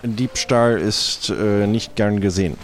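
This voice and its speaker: A man with a slightly low voice speaks matter-of-factly as a pirate companion. It is improvised game dialogue, with faint music behind him. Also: slow.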